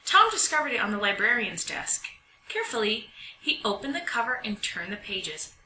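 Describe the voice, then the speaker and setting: A woman reading a children's picture book aloud in an expressive storytelling manner. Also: a little high, moderate pace. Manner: expressive, animated storytelling delivery. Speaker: storybook reader. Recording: roomy echo, distant mic, faint noise.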